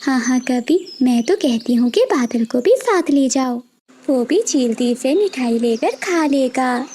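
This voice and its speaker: A gentle, clever little bird from a children's cartoon, acted by a woman. The voice is very high and soft-toned, chatty and soothing. Faint noise and birdsong are behind it. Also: animated, unhurried. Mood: coaxing. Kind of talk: Hindi children's cartoon voice acting.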